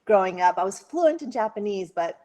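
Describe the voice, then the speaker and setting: A woman talking casually on a video call, her voice pitched in the middle. She is chatty, laughs often and leans on fillers as she talks. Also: animated, fairly quick, mellow. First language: English. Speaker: social media volunteer. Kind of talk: casual conference talk.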